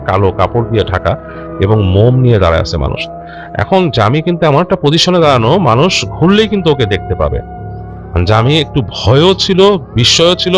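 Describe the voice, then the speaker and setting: Animated Bangladeshi man telling ghost stories. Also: medium pitch. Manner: conversational, hesitant and repetitive, mixing in English words. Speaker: horror storyteller. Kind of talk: unscripted spoken ghost-story narration. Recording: music under the voice. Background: background music.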